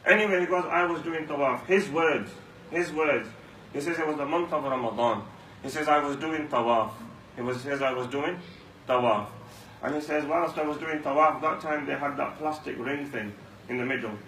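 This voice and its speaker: A man's voice: on the high side, moderate pace, a bit bright. A man giving a Ramadan talk at a mosque, telling stories and repeating lines for emphasis. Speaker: mosque speaker. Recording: echoey room, mic far off, faint hum.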